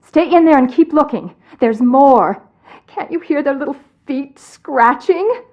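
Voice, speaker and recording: An actress playing a high-strung, irritable, squeamish woman boss in a video game, commanding and exasperated. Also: expressive, unhurried, dull audio. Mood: bossy, anxious.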